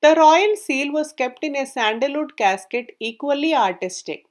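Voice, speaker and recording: High-voiced woman reading a folk tale. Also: expressive, moderate pace. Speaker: story reader. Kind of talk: scripted storytelling narration of a folk tale.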